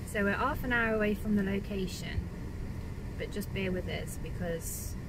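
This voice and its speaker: A woman's voice: medium pitch, moderate pace. Englishwoman livestreaming over car cabin noise. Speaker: host of a paranormal investigation livestream. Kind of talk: casual livestream chat to viewers. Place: inside a car. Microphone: phone at arm's length. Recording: noisy car cabin recording.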